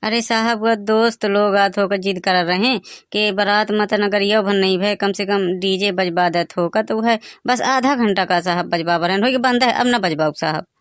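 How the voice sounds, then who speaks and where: A man playing a meek, apologetic villager caught by police in a comic cartoon, with a Bagheli accent and a pitched-up voice. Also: fairly even intonation, moderate pace, a bit bright, no echo, clean studio sound. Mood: excusing himself. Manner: deferential, apologetic.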